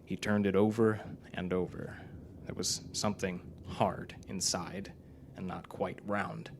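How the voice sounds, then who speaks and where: Low-pitched man narrating, faint live noise. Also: steady tone, measured pace. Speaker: story reader. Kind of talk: scripted dramatic narration. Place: performance club before live audience.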